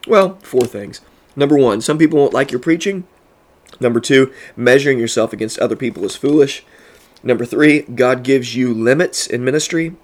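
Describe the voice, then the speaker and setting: A man's voice: mid-range voice, moderate pace. A man who pastors and hosts a podcast, teaching earnestly with a congested voice and building emphasis on his points.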